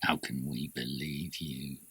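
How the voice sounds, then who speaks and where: A man's voice: extremely low pitch, steady tone, takes it slowly, a bit bright, slightly rough, no echo, heavily acted. A man playing a doubting disciple who puts terse, grave, probing questions in a dramatised ancient religious text. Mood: doubting, demanding.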